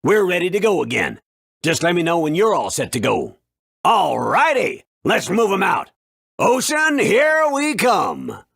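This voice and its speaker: Gravelly, cheery crew hand. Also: male voice, sits high, huge pitch swings, a little slow, fairly bright tone, dry recording, studio quality, full character voice. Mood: eager, jolly. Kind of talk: video game voice acting.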